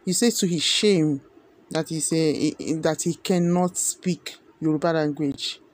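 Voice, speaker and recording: A man's voice: a little high, steady tone, unhurried. A man with a Nigerian accent giving earnest opinionated commentary, repeating himself with many fillers. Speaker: video commentator.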